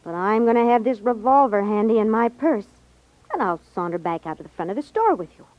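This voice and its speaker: Brisk, wary daughter played by a woman, highish voice, 1950s radio drama, muffled dry sound. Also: expressive, lightly acted. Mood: wary, practical.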